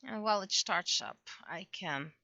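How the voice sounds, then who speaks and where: A woman livestreamer chatting casually and haltingly, muttering to herself as she thinks aloud, on dull lo-fi audio. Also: medium pitch, expressive, sharp tone, dry recording. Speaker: livestreamer, webcomic artist.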